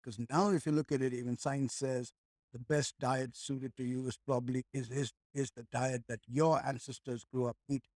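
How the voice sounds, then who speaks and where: A man's voice: medium pitch, level tone, moderate pace, darkish tone, no echo, studio quality. A man, a podcast guest talking in a reflective, rambling way with many restarts. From India. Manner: rambling, with frequent restarts and "like", "right?". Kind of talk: reflective conversational chat.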